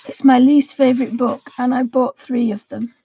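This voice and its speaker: A woman with a croaky voice hosting a casual livestream while colouring, talking softly and rambling, often half-whispered. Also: high voice, steady tone, normal speed, muffled recording. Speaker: livestream host.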